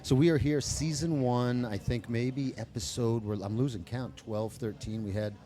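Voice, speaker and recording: A man's voice: medium pitch, fairly even intonation, normal speed, dark tone. A man from New York hosting a casual podcast interview in a restaurant, chatty and joking with his guest. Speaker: podcast host.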